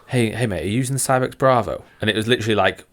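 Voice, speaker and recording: A man's voice: lowish voice, racing, a bit bright. A young man, co-hosting a podcast in laid-back, easygoing chat. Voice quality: croaky. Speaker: podcast co-host. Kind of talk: casual chat.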